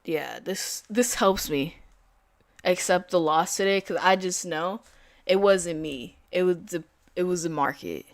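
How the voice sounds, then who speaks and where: A woman's voice: fairly low pitch, unhurried, no room sound. Casual young woman day trader. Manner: casual, filler-heavy, slangy. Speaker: day trader streaming live. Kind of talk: casual live-stream chat.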